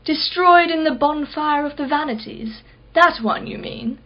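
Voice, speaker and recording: Radio drama casting: wealthy female collector played by a woman, higher-pitched, imperious, sardonic and sceptical, slightly muffled recording. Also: a little slow. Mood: sceptical, mocking. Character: haughty, sharp-tongued wealthy female art collector, sceptical. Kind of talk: radio play acting.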